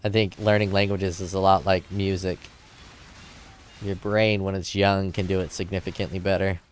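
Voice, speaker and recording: A man's voice: deep voice, little pitch movement, moderate pace, slight background noise. A man streaming a game from his home desk, chatting casually and reading chat messages aloud, a little drunk. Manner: laid-back, rambling, joking. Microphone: desk mic in front of keyboard.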